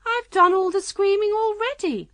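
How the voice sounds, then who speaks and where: A woman voicing an eccentric, scatterbrained old storybook queen with a shrill, emphatic manner. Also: normal speed, fairly bright tone, no echo. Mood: cheerful, insistent. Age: older. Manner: shrill, emphatic, matter-of-fact about nonsense.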